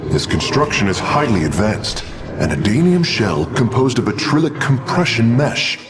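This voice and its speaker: A man's voice: medium pitch, sing-song, normal speed, over music, natural voice. A man playing an earnest, analytical alien engineer in a game cutscene, precise and formal. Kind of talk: video game cutscene acting.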